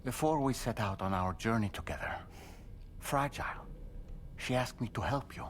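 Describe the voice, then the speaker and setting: An actor playing a gentle, grave male therapist in a video game, speaking softly in measured, careful phrases. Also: medium pitch, a little hiss or hum, natural voice. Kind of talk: video game cutscene acting.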